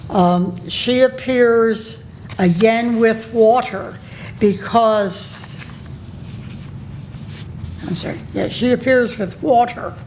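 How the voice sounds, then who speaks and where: Woman presenter, slow deliberate delivery, slightly high voice, faint hiss behind. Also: darkish tone, poor audio. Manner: measured, with pauses and fillers. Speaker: conference presenter. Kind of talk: academic talk reading from a paper. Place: conference room.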